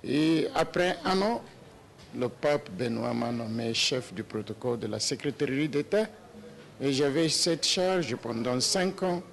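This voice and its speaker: A man in his sixties from Nigeria, a papal nuncio, answering interview questions slowly with many hesitations and restarts. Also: medium pitch, expressive, vocal fry. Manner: slow and hesitant with many "euh" fillers and restarts. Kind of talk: slow, halting interview answers.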